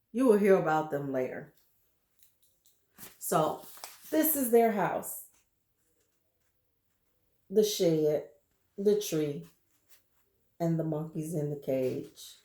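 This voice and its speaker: Lady reading a kids book out loud real slow, echoey room. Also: low voice. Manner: expressive, showing pictures to listeners. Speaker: storybook reader. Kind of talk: children's book read-aloud.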